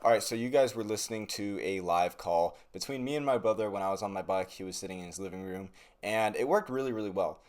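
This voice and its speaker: A man's voice: lowish voice, fast, a little mellow. A young man giving a casual, conversational product review to camera in a laid-back, slangy way. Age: young adult. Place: small bedroom, indoors.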